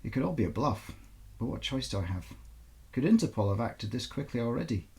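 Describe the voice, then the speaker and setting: Audiobook role: wary fugitive mother played by a male reader, guarded, faux-casual, with a gravelly edge. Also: medium pitch, animated, brisk, darkish tone. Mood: anxious inner thoughts. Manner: guarded, feigning casual indifference. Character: wary, anxious Romanian mother on the run.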